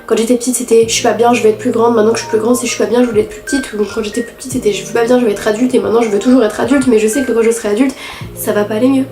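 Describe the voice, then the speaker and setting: A woman's voice: fairly high pitch, steady tone, mellow, reverberant, faint music behind. Congested teen girl with a nasal voice. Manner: halting, candid, many restarts and fillers. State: congested with a head cold. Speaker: vlogger. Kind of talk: personal confessional vlog.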